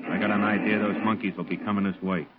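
Casting: male actor for hardened, gruff Marine sergeant, low pitch, clipped slangy orders, very noisy 1940s radio sound. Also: level tone, fast talker, lightly acted. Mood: commanding. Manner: terse, slangy, commanding. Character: tough, gruff, world-weary American Marine sergeant. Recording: very noisy, tinny old radio broadcast.

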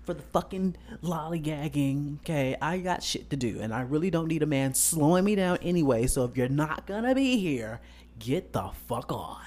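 A man's voice: a little high, animated, normal speed, a little mellow. A man, talking bluntly in casual chat, swearing and laughing. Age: 20s.

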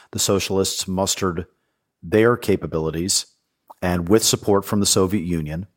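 A man with a very low, deep voice walks a podcast host through history. He talks in a thoughtful, explanatory way, pausing with fillers and restarting phrases. He sounds like a former soldier now speaking as an informed guest.

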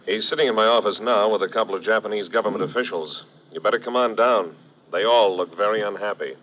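An actor playing a brisk, wry army intelligence major in old radio drama style. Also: male voice, lowish voice, natural voice. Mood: grim, wry. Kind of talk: 1950s radio drama acting. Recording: muffled, slightly noisy old radio recording.

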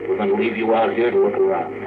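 Actor as low-voiced spaceman on tinny old radio. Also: level tone, fast, lightly acted. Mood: urgent, practical. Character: gruff practical spaceman leading his crew on the moon. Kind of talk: 1950s radio drama acting. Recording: noisy, tinny old radio recording, distant.

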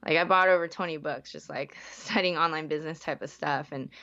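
A woman's voice: deep voice, a little fast, pushed and bright, muffled recording. A young woman from the Bay Area, a podcast guest chatting casually and saying "like" a lot. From San Francisco Bay Area. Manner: chatty, heavy use of "like", frequent self-restarts. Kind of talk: casual interview.

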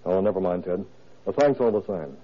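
A man's voice: deep voice, lively intonation, brisk. An actor playing a proud, desperate businessman with a blunt, insistent manner in an old-time radio drama. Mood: anxious, resigned. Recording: tinny, narrow-band vintage radio recording.

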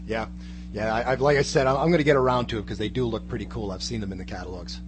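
A man's voice: medium pitch, quick pace. A man chatting casually as a guest co-host, with hesitant, stumbling starts. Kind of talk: casual chat. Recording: clear background noise and hum.

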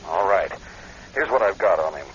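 A man's voice: low voice, lively intonation, normal speed, a bit bright, a little hiss or hum. An American man playing a blunt, protective friend in a radio drama, urgent and colloquial in his talk. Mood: blunt, insistent. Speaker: actor in a radio play. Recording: dull lo-fi radio recording.

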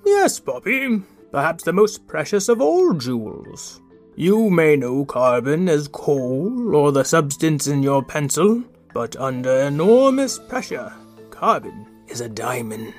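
A man's voice: fairly high pitch, very animated, takes it slowly, faint music behind. A man playing a vain, fussy but kindly inventor in a children's audio drama, formal and explanatory in an old-fashioned way. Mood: earnest, instructive.

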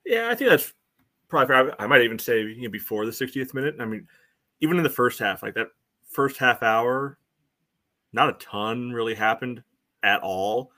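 A man co-hosting a sports podcast, giving casual analysis in measured, halting phrases. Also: medium pitch, expressive, sharp tone.

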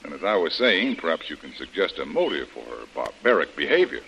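A calm adventurer hero in an old radio serial. His low voice moves expressively through polite, probing questions, with faint noise on the recording. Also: brisk, gravelly, exaggerated voice, low-quality recording. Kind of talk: 1930s radio acting.

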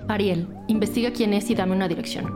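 A woman playing a brisk, commanding police officer in a scripted audio drama. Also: mid-range voice, steady tone, natural voice. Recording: loud music bed behind voice.

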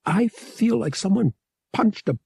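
A man voicing an anguished, vulnerable young woman in an audiobook, breathy, with halting, pleading delivery. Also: high voice, lively intonation, a little mellow, no echo, clean studio sound, pitched above the performer's own voice, full character voice. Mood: anguished, yearning. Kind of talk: audiobook character voice.

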